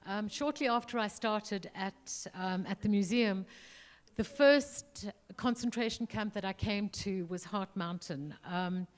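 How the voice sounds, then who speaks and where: A woman's voice: medium pitch, measured pace. A middle-aged woman from South Africa with a South African accent, speaking earnestly at a panel, with frequent ums and restarts. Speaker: museum CEO, panelist. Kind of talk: panel remarks. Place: event hall.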